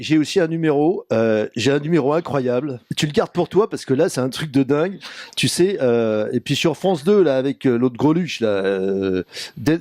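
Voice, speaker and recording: Man playing a chatty showbiz agent, mellow and higher-pitched. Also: dry recording, studio quality, close to an everyday voice. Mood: conspiratorial, brash. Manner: slangy, insistent, excitable patter. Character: chatty, brash showbiz agent booking absurd variety acts. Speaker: prank caller. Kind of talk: prank phone call.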